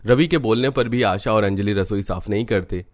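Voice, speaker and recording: Low-voiced male cartoon narrator. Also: normal speed, soft background music.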